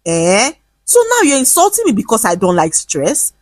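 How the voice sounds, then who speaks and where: A lazy, whiny young husband who drawls and pushes his voice up high, as a woman narrator puts him on. He speaks with a husky, breathy edge, his lines bouncing around in pitch, in a dry, close storybook reading. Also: wildly swooping pitch, normal speed, no echo. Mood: defensive.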